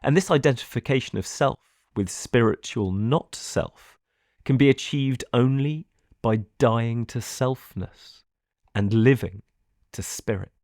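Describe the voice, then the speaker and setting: A man reading a scripted philosophical audiobook in a measured, formal manner. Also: medium pitch, darkish tone, no room sound, clean studio sound. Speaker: narrator.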